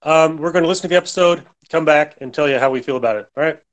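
A man's voice: a little high, rapid-fire, heavy background noise. A man hosting a podcast, giving a casual, informal introduction. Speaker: podcast host.

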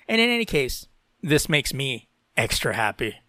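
A man's voice: mid-range voice, very animated, moderate pace, bright, forceful tone, no room sound. A podcast host from Latin America, a man who reads tech and entertainment news aloud and breaks off into opinionated commentary peppered with "you know".

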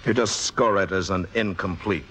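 An actor playing a confident, blunt, protective boyfriend with a wry, direct manner in a vintage radio drama. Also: male voice, sits low, normal speed, sharp tone. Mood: wry, cool. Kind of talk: 1950s radio drama acting. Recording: dull vintage radio recording.

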